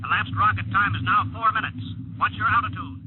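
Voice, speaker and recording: A man's voice: high voice, fairly even intonation, fairly quick, natural voice. Man acting an anxious flight controller, noisy old radio. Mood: businesslike. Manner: terse commands, earnest worried urging. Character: anxious, conscientious male rocket flight controller. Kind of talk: 1950s radio drama acting. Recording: noisy, muffled 1950 radio broadcast with hum.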